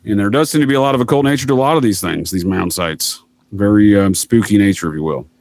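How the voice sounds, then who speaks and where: A man's voice: fairly low pitch, fast, a little mellow, dry recording. A man speaking as a podcast guest, laying out theories assertively in conversation. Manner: assertive, addresses listener as "sir". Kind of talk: conspiracy-theory talk in a podcast interview.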